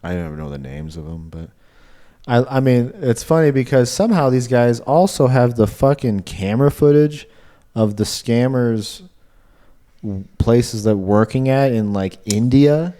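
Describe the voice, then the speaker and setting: A man's voice: medium pitch, animated, unhurried, mellow. A man co-hosting a podcast, chatting in a casual, informal and laid-back way. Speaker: podcast co-host. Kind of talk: casual chat.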